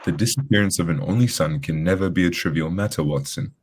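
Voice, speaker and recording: A man playing a composed, courteous gentleman detective in a radio drama, with formal, polite wording. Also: low voice, fast, breathy edge.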